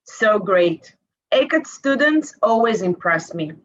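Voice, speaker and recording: A woman hosts the session in an upbeat, welcoming way. Her voice is mid-pitched. The recording is slightly dull and lo-fi. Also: very animated, takes it slowly, faint music behind. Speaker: session host. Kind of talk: scripted presenting.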